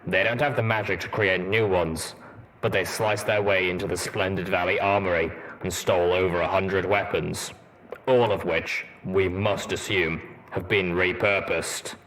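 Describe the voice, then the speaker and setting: A man voicing a gruff, grave armored warhorse veteran in an audiobook, blunt and deliberate, with a deep rumbling voice. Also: steady tone, a bit bright, a little hiss or hum, low-quality recording. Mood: grim, matter-of-fact. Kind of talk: audiobook character dialogue.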